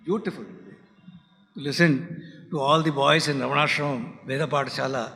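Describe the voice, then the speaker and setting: A man with a Tamil mother tongue gives a reflective spiritual talk in a bright, fairly high voice. He speaks haltingly and often lets his voice fall to a soft hush. The sound rings with the long reverb of a lecture hall. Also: creaky. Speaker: speaker at a spiritual centre's founders day.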